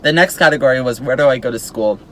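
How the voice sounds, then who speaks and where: A man's voice: medium pitch, fast, fairly bright tone. An eighteen-year-old man chatting casually to his viewers as a YouTuber, peppering his talk with "like" and "um". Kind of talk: casual vlog chat to camera.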